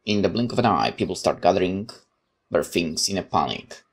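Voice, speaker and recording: A woman's voice: a bass voice, expressive, brisk. A woman reading a game's story aloud and adding casual commentary as she plays. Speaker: game player narrating a playthrough.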